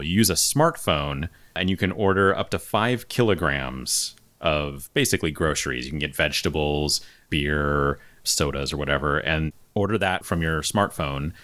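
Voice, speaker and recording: A man hosting a casual podcast, chatting easily and reading out listener topics and news items. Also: extremely low pitch. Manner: relaxed, conversational, reads out topics. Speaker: podcast host.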